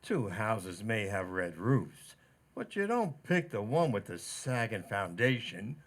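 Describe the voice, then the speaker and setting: Slow-talking, amorous butcher, radio comedy played by a man. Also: on the low side, sing-song, exaggerated voice. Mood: flirtatious, smitten. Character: amorous, cheesy butcher wooing a married woman. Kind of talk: old-time radio sitcom acting in community theater.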